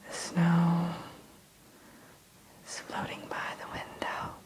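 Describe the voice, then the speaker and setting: A woman narrating a guided ASMR story in a soothing whisper, slowly, with deliberate pauses. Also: lowish voice, completely flat delivery. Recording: faint background hiss.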